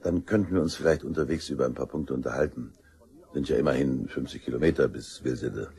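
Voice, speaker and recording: An actor playing a polite, measured police detective in a crime radio drama, courteous and matter-of-fact. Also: male voice, very deep voice, creaky, mellow, husky, exaggerated voice. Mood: polite, composed.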